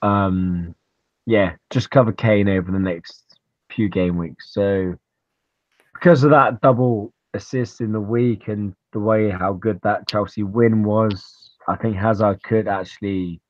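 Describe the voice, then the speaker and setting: Low-voiced man on dull call audio. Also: steady tone, a little slow, darkish tone, no room sound. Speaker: fantasy football podcast co-host. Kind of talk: casual football chat.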